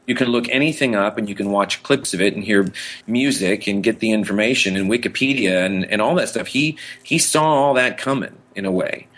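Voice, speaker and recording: A man's voice: on the low side, a little fast, fairly bright tone. A man co-hosting a podcast in casual, enthusiastic pop-culture chat, rambling and often interrupting himself. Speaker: podcast co-host.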